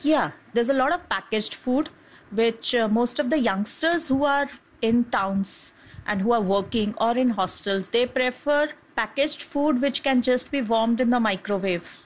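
A woman's voice: a little high, unhurried, poor audio. Woman, doctor, interview answers, earnest, plain-spoken, hesitant.

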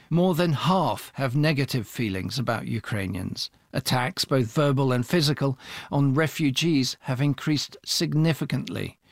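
Steady man reporting the news. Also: medium pitch, no echo, clean studio sound. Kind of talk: scripted news narration.

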